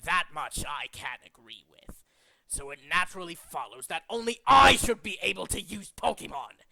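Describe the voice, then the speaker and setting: A man voicing a megalomaniac villainous cult leader from a video game, scheming, pompous and contemptuous, moving between hushed menace and ranting. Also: sits high, animated, moderate pace, creaky, exaggerated voice, poor audio. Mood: cold scorn. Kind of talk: theatrical video game villain monologue, demo reel.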